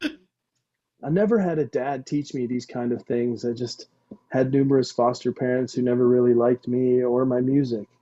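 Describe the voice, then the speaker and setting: A man's voice: medium pitch, fairly even intonation, normal speed, warm tone, natural voice. A man playing a sweet, dim, lovesick young slacker with slangy, earnest, boastful talk in a comedy table read. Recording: dull, lo-fi video call sound.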